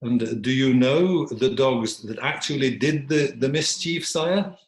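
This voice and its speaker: Man acting as righteous storybook dog, courteous, deliberate, admonishing, raised voice, echoey room. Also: medium pitch, voice pushed up, lightly acted. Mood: polite challenging. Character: wise, bold, righteous dog addressing a king.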